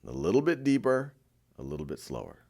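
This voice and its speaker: Male meditation guide: gentle and reassuring, unhurried delivery with a lively, moving pitch. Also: vocal fry.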